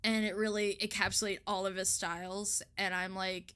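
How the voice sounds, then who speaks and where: A woman's voice: mid-range voice, flat delivery, moderate pace, fairly bright tone, clean tone. A woman in a casual online interview, chatting effusively and giggling, with some casual swearing. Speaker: interviewer and artist. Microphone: online voice call.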